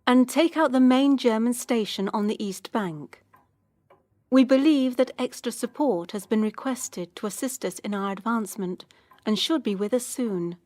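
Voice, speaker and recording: A woman's voice: a little high, darkish tone, lightly acted. A woman playing the tender, devoted voice of a wartime love letter in a radio drama. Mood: measured, hopeful. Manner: tender, measured. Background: flute music.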